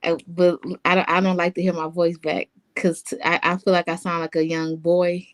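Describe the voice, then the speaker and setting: A woman guest on a live stream answers questions quietly and casually. Her voice sits lower than most women's, and she pauses often with um and you know. She speaks through a home streaming setup. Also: little pitch movement. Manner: soft-spoken, fills pauses with um and you know. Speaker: guest, podcaster.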